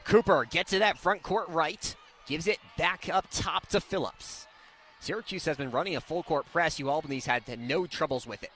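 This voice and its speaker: A young man does live radio basketball play-by-play in a fairly high, bright, forceful voice. His delivery is excitable and rises with the action. He speaks into a courtside mic, with clear game noise behind him. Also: moderate pace. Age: young adult. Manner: excitable, swelling on big plays.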